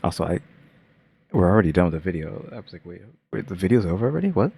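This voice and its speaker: Deep-voiced man rambling in a reaction video. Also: big pitch swings, quick pace, vocal fry, darkish tone, slightly rough, no echo, clean studio sound. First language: English. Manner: rambling, heavy use of filler "like". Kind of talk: casual reaction commentary.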